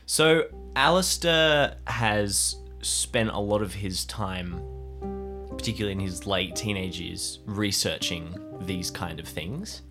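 A man improvising narration about his character in an audio drama, halting as he thinks aloud. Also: lowish voice, bright, forceful tone, soft background music.

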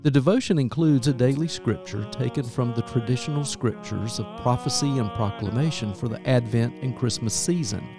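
A man's voice: medium pitch, moderate pace, hardly any pauses. A man reading a scripted podcast introduction as its announcer. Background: guitar music.

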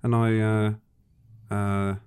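A man's voice: fairly low pitch, level tone, extremely slow, darkish tone, clean tone. A man, a guest on a radio show, speaking earnestly and hesitantly, with frequent restarts. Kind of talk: casual radio chat.

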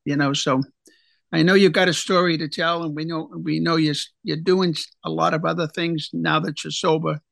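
Gravelly-voiced male talk show host. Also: on the high side, a bit bright, no room sound. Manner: halting, conversational questions with restarts.

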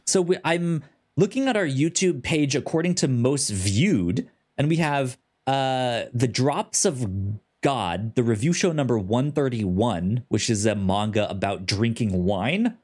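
A man with a stutter hosting a casual podcast, halting with many ums and restarts. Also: mid-range voice, animated, darkish tone, breathy edge. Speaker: podcast host.

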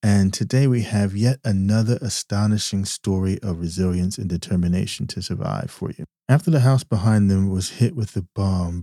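Male podcast host, low and warm, earnest and emphatic, close dry mic. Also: no room sound. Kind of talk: podcast hosting.

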